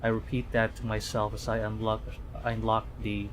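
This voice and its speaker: A man streaming a visual novel, reading the lines aloud in a somewhat halting way. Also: lowish voice, level tone. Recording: faint background noise.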